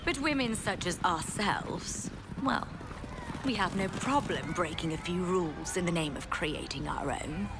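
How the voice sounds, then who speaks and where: A woman's voice: fairly low pitch, measured pace, over music. An actress playing a wealthy, self-assured businesswoman in a video game cutscene, speaking in a genteel, wry, gracious way. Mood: conspiratorial. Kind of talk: video game cinematic voice acting.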